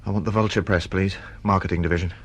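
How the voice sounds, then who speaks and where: A man's voice: low voice, steady tone, quick pace, a little hiss or hum. An actor playing an aggrieved, volatile male novelist, bitter and suspicious, in a British radio drama. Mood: polite, guarded.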